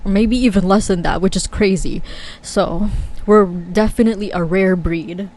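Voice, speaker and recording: A woman's voice: mid-range voice, moderate pace, a little mellow. A young woman talking casually to camera as a vlogger, chatty and candid with many fillers and frequent laughs.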